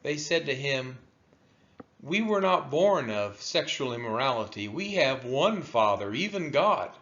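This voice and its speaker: A man reading scripture aloud in a solemn style, with deliberate, emphatic phrasing and a dull, lo-fi sound. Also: medium pitch, big pitch swings, fairly bright tone. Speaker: scripture reader.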